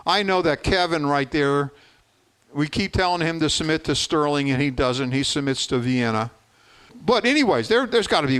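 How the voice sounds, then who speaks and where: A man's voice: a little high, fairly bright tone, clean tone. A man giving an informal slide presentation to a club meeting, plain-spoken and urging his listeners on.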